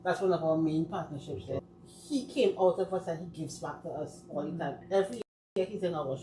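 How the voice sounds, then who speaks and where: A woman's voice: low-pitched, a little fast, mellow. A woman with a Trinidadian accent speaking as a guest in a casual panel discussion. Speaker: guest from a community dance company. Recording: echoey room with faint noise.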